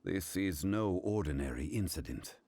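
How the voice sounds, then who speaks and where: An actor playing a composed, learned, grave gentleman adviser in a video game, speaking formally and deliberately. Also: low-pitched, expressive, breathy edge, full character voice. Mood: grave, thoughtful. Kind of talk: video game character acting.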